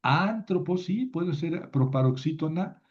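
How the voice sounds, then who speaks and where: A man teaching a class, slowly reciting word forms and thinking aloud as he explains. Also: fairly high pitch, animated. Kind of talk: slow classroom lesson on grammar. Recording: dull lo-fi recording.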